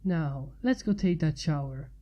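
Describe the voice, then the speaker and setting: A woman's voice: low voice, expressive, a little slow, dark tone, pitched below the performer's own voice. A woman voicing a tender, teasing, easygoing man in a story reading.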